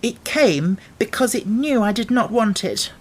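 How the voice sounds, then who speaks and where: A man's voice: high-pitched, animated, a little slow, breathy edge, voice pushed up, full character voice. A man voicing a contrary, determined young girl with a blunt, insistent manner for a storybook. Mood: cross, sulky. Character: contrary, stubborn ten-year-old girl, angry and determined.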